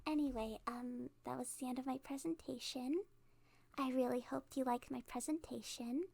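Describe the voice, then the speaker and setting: A woman's voice: high voice, steady tone, vocal fry, darkish tone. A woman playing a cute, awkward, cheerfully menacing kidnapper girl in a rambling, playful ASMR roleplay monologue. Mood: eager pitching. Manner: rambling, halting, giggly, playful.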